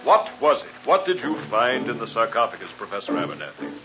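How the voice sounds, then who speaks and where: A man's voice: medium pitch, wildly swooping pitch, fairly quick, music in the background. An actor playing a commanding, incredulous male doctor of science in a dramatic old-time radio style. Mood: demanding. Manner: dramatic, questioning. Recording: muffled hissy vintage radio.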